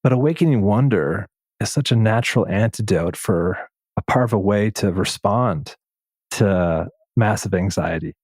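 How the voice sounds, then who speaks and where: A man with a low, deep voice hosts a thoughtful interview. The sound is dry and close. He muses in an earnest, appreciative way, working gradually toward what he wants to ask. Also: moderate pace, a little mellow, breathy edge, no room sound. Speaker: podcast host, interviewer. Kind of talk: reflective, conversational interviewing.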